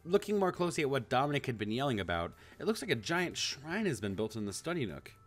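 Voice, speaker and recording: A man's voice: mid-range voice, sing-song, fast talker, a bit bright, faint music behind. A man giving casual, rambling let's play commentary and reading a visual novel aloud, easily amused. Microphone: handheld microphone on a cord.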